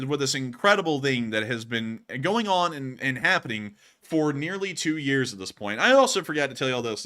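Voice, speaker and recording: A young man in his early twenties speaks with a bright, forward edge at a middling pitch. He hosts a live news stream, moving between emphatic commentary and folksy, casual chat with his viewers. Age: early 20s. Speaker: news channel host.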